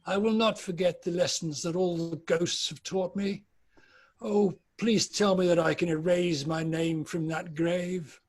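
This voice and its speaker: A man's voice: sits high, fairly even intonation, a little slow. A British man playing an anguished, repentant old miser in a theatrical, pleading dramatic table read.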